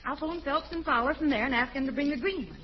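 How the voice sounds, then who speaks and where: An actress playing an excitable, polite young niece in a 1940s radio comedy. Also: female voice, high voice, fast talker, close to an everyday voice. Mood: fretful. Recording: muffled, hissy old radio recording.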